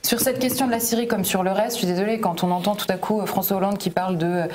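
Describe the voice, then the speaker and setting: Brisk, combative woman on a panel. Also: mid-range voice, steady tone, a little mellow, slight background noise. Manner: brisk, combative, sprinkled with fillers. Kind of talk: TV panel debate.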